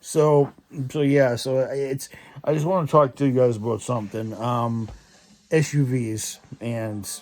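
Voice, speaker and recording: A man's voice: mid-range voice, fairly even intonation, a little slow, a little mellow. A young man in a casual opinion rant, rambling with many restarts and repeated fillers. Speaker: vlogger.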